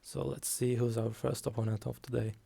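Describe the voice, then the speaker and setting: A man streaming a card game, talking calmly and softly, with frequent ums. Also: mid-range voice, vocal fry, slightly rough. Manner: slow, calm, soft-spoken with frequent ums. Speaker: guest streamer. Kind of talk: casual game stream commentary.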